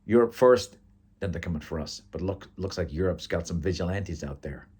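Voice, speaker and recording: A man's voice: deep voice, a little fast, a little mellow, breathy edge. A man voicing a brash, cocky Italian American bar buddy with a New York Italian accent in a story. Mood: heated. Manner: blunt, cocky, slangy.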